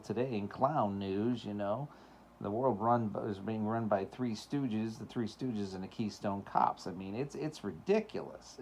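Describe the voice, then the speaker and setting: Gravelly low-voiced man rambling casually. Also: a little mellow, a little hiss or hum. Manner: rambling, many fillers, laughs often. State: voice cracking. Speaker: show host. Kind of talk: casual monologue.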